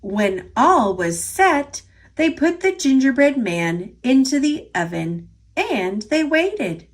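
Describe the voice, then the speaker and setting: Woman, American accent, high voice, slow pace, expressive children's storybook narration. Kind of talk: read-aloud storytelling for young children.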